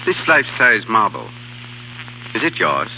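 A genteel, shrewd art dealer with a middle-pitched, very expressive voice. He bargains politely in a 1930s radio play that sounds dull and a little hissy. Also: unhurried, vocal fry, full character voice. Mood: cool.

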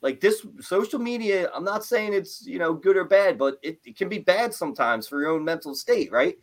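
Animated, rambling male podcast host. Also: high voice, moderate pace. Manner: animated, rambling, lots of "like" and "right?". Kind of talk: casual interview chat.

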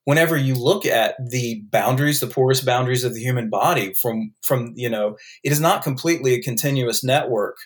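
A man's voice: mid-range voice, fairly quick, hardly any pauses. A man with a North Carolina accent, a podcast guest enthusiastically explaining ideas in casual conversation. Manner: enthusiastic, explanatory, with frequent restarts.

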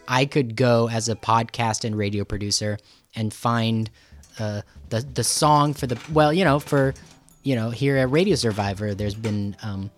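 A man hosting a podcast interview in a conversational style, halting and rambling as he thinks aloud. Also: mid-range voice, steady tone, soft background music. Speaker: podcast host and radio producer.

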